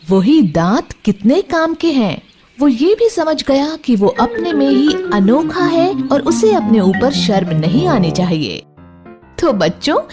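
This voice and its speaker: A woman narrating a scripted children's bedtime story, expressively addressing young listeners. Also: sits high. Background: music.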